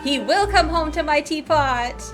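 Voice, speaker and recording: A woman's voice: sits high, lively intonation, a little slow. A woman doing casual, playful gameplay commentary as a streamer, chatting away to herself. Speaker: game streamer. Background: game music.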